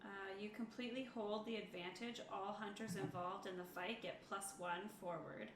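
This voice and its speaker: A woman's voice: medium pitch, fairly even intonation, moderate pace. A woman playing a tabletop game, chatting casually and going over the rules in a helpful, matter-of-fact way. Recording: echoey room with noticeable background noise.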